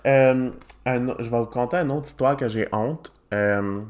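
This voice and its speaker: A man's voice: medium pitch, normal speed, a little mellow. A young man hosting a casual podcast chat, joking and laughing often and slipping in English words. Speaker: podcast host.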